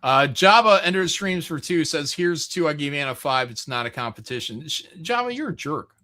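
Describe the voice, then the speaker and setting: A man's voice: fairly high pitch, animated, normal speed, pushed and bright. A man hosting a livestream, chatting casually and reading out viewer comments in an irreverent, joking, profane way. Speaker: livestream host.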